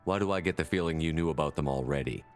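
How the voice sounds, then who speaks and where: Low voiced space marine commander, blunt n determined, game dialogue, faint music. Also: male voice, fast. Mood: determined, questioning. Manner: firm, direct. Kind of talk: cinematic sci-fi game dialogue.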